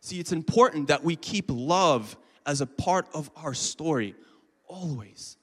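Young male preacher in his twenties, fairly high voice, relaxed chatty delivery, long hall reverb. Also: unhurried. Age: 20s. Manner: casual, chatty preaching with many fillers. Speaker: lay preacher. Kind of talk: sermon. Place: church hall.

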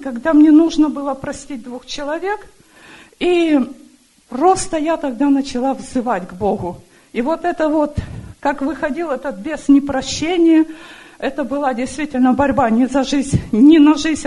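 A middle-aged woman giving an earnest personal church testimony, halting often and searching for words. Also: high-pitched, dark tone, rough recording. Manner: earnest, halting, with many fillers.

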